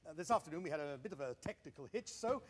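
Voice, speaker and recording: A man's voice: on the high side, animated, very fast. A man playing an eager, deferential station official, polite and formal, in a 1920s radio drama. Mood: apologetic, reassuring. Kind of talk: period radio drama acting.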